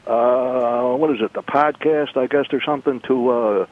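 A man's voice: medium pitch, moderate pace, a little mellow, poor audio. A man from Rochester, New York, calling in to a radio show, talking hesitantly with many fillers. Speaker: caller. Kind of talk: phone call to radio show.

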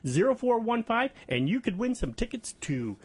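A man's voice: normal speed, slightly rough. A man co-hosting a radio show, announcing a ticket giveaway in an upbeat way. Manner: lively, upbeat giveaway pitch. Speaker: radio co-host. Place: radio studio.

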